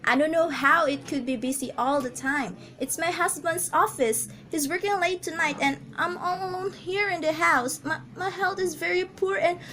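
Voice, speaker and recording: A woman acts out a frail, imperious wife in a radio play, very high and piercingly bright. She stammers and frets, agitated and demanding. A faint noise sits on the amateur recording. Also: normal speed, few pauses. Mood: fretful, nervous. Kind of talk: amateur radio drama acting.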